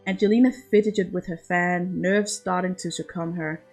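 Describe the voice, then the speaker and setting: A woman's voice: on the low side, fairly even intonation, normal speed, darkish tone, faint music behind. A woman reading scripted fiction aloud as an amateur audiobook narrator.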